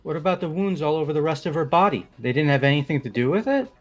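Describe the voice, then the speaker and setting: A man's voice: a little high, animated, fast talker, faint music behind, lightly acted. A man voicing a casual, blunt, sarcastic young man in a fan-voiced game. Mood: curious. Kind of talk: fan-voiced visual novel game acting.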